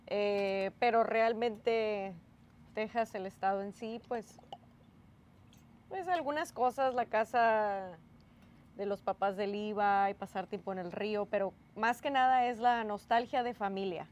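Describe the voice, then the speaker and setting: A woman's voice: mid-range voice, measured pace, a little hiss or hum. A woman from Tamaulipas, Mexico, co-hosting a casual podcast chat outdoors by a pool, easygoing with short replies. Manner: easygoing, short replies, gently corrects her partner. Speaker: podcast co-host.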